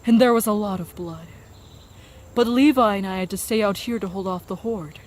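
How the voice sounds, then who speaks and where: A woman playing a weary, worried but courteous camp survivor in an audio drama, gentle and sombre in manner. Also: medium pitch, normal speed, a little hiss or hum, natural voice. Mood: somber. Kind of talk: audio drama acting, anime fan parody.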